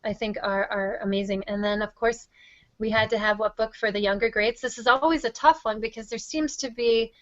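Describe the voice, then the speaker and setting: A woman's voice: fairly high pitch, steady tone, a little fast, no room sound, dull audio. A woman giving enthusiastic, effusive, conversational answers as an interview guest. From Wisconsin, United States. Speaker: interview guest, teacher and reading project founder.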